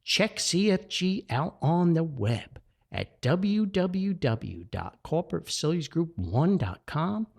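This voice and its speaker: Folksy man with wide, lively pitch swings. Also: slow, gravelly. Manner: folksy, rambling, exclamatory; jovial, chuckles often. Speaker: podcast host. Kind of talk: chatty solo podcast with sponsor reads.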